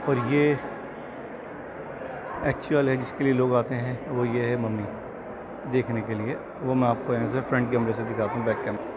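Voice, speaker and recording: A man's voice: mid-range voice, level tone. Man vlogging through a noisy museum. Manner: loose, halting guide talk pointing out exhibits. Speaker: travel vlogger. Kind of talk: casual walking tour narration. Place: large indoor museum hall. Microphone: phone held at arm's length with earphone mic. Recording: loud hall background noise.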